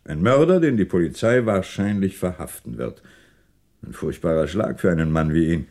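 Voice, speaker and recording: An actor playing a shrewd, composed French police commissioner in a German radio crime drama, deliberate and dryly ironic. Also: male voice, sits low, lively intonation, darkish tone. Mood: calm, expository.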